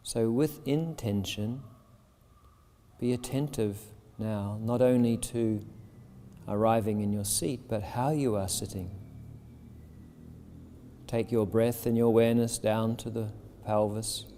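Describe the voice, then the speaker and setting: Slow, low-voiced man guiding meditation, reverberant hall. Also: mellow, a little hiss or hum. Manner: soothing, deliberate, short phrases with pauses. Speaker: yoga teacher leading guided meditation. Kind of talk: guided meditation. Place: yoga shala with a seated group. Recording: long reverb of a large hall.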